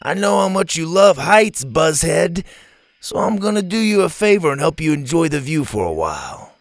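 Storybook villain, boy bully, done by a male narrator: raised pitch, mocking, coaxing, cruel. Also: wildly swooping pitch, drawn-out words, gravelly, fairly bright tone, a little hiss or hum, heavily acted. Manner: mocking, sneering, falsely coaxing. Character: taunting, cruel boy bully, gang leader in a mask.

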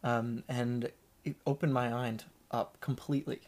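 A man talking casually as a podcast guest, relaxed and hesitant, with lots of fillers and restarts. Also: medium pitch, unhurried. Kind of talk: casual conversation.

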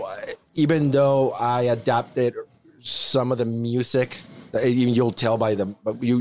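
A man in his forties from Mexico with a Mexican accent, telling his life story casually on a podcast with many restarts. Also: on the low side. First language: Spanish. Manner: rambling, many restarts and fillers. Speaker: podcast co-host. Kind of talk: casual chat, personal storytelling.

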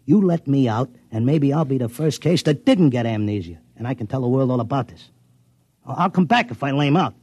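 A man's voice: medium pitch, lively intonation, brisk, a little mellow, breathy edge, no room sound. An actor playing a stubborn, slangy racetrack tipster in a 1950s radio drama, speaking plainly as he tells his story. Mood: bargaining. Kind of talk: 1950s radio acting, first-person narration and dialogue. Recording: muffled old radio recording, music behind.

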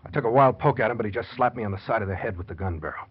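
A man's voice: fairly low pitch, very fast, breathy edge. A man with an American accent playing a bitter, desperate murder plotter in the style of 1940s radio drama. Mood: defeated. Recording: muffled vintage 1940s radio recording.

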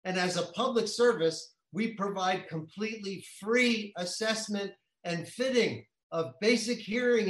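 A man playing an earnest clinic spokesperson in a deadpan parody radio advertisement. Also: sits high. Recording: roomy, echoing sound.

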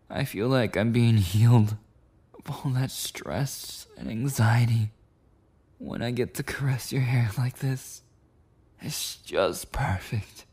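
A man's voice: medium pitch, a little mellow, husky. A man playing a clingy, possessive yandere boyfriend in an intimate ASMR roleplay monologue, rambling and cooing tenderly with lapses into whispers. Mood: tender, soothed.

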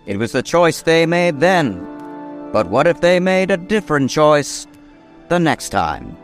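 A man playing the storyteller of an epic radio mystery, narrating with grave, dramatic, deliberate phrasing. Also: fairly high pitch, very animated. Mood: reflective. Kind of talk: scripted old-time radio drama narration. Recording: music behind the narration.

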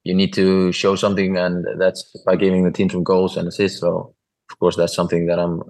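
Deep flat-voiced Icelandic man. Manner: halting, plain-spoken, many restarts. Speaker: professional footballer guest. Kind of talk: interview answers.

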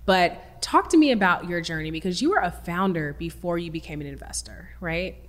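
A woman's voice: fairly low pitch, lively intonation, fairly quick, in a large hall. A woman hosting a podcast interview, chatty and enthusiastic, with many "like"s. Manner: chatty, enthusiastic, says "like" a lot. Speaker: podcast host. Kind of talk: casual interview chat.

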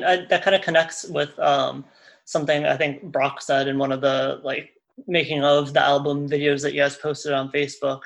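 A man interviewing a musician, asking relaxed questions with many hesitations. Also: on the high side.

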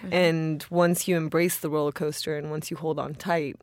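A woman's voice: low-pitched, little pitch movement, moderate pace, no room sound, clean studio sound. A woman guest at a roundtable, speaking thoughtfully and correcting herself as she goes. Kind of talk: roundtable discussion.

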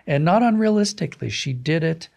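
Very creaky-voiced man in conversation. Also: on the high side, animated, a little fast, darkish tone. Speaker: guest, author of audio dramas and books. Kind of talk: conversational interview.

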